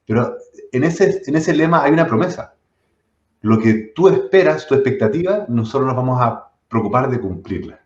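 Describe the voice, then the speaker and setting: A man's voice: medium pitch, expressive, moderate pace, darkish tone. A middle-aged man with a Chilean accent, whose first language was not Spanish, speaking as a guest in a live-stream conversation and often restarting his sentences. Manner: reflective, many restarts. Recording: dull roomy echo.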